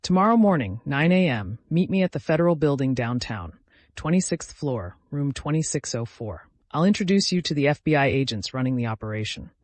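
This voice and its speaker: A man's voice: medium pitch, lively intonation, a little fast, no room sound, natural voice. A man voicing a professional, direct woman prosecutor with controlled tension in a dramatic audio story. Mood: steady, urgent.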